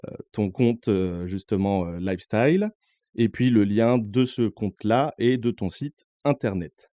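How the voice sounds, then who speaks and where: Male podcast host from northern France; mellow, casual, uses lots of fillers. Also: on the low side, a little slow, dry recording, clean studio sound. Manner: relaxed, filler-heavy interviewer. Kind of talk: casual interview.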